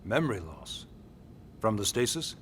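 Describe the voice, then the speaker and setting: An actor playing a dignified older military official in a video game cutscene, speaking formally and with measured solemnity. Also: male voice, fairly low pitch, very animated, fairly bright tone, slight background noise. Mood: formal, composed. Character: dignified older male secretary of defense, grave and courteous.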